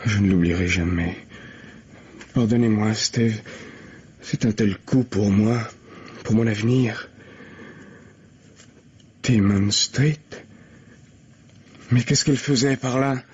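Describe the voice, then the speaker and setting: A struggling playwright in an old radio mystery, effusive and self-absorbed. His voice is slightly husky, and he stammers and hesitates as he talks. The recording is dull and lo-fi. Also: lowish voice, takes it slowly, long pauses, a little mellow, full character voice. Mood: shocked, subdued. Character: nervous, eager, self-absorbed struggling young male playwright. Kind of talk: vintage French radio mystery acting.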